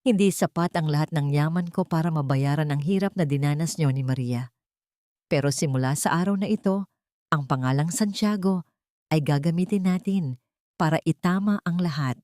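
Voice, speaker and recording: A woman's voice: low-pitched, normal speed, dark tone, dry recording, close to an everyday voice. A woman plays an old, wealthy patriarch in an audio drama, humbled and full of regret. The voice trembles with remorse and sits close and dry. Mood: remorseful, earnest.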